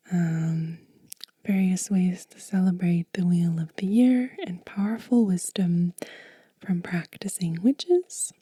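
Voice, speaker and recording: Very slow, breathy whispering ASMR woman. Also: medium pitch, no echo. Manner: gentle, unhurried, soothing delivery. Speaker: host of a witchcraft ASMR channel. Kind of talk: soft-spoken whispered ASMR show and tell.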